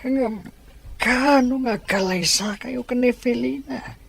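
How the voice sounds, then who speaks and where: A man playing an aging, insistent grandfather and family patriarch in an audio drama, with halting, emphatic speech. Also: very high voice, vocal fry, a bit bright, breathy edge, heavily acted. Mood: insistent, pleading. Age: older. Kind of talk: Ilocano audio drama dialogue.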